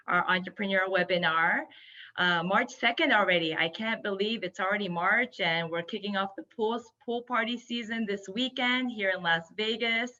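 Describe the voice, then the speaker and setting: A woman's voice: mid-range voice, bright, forceful tone, lo-fi sound. A woman from Japan, a native Japanese speaker in her forties, gives an upbeat, enthusiastic webinar presentation as a guest speaker over a video call. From Yokohama, Japan. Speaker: guest speaker, hospitality entrepreneur.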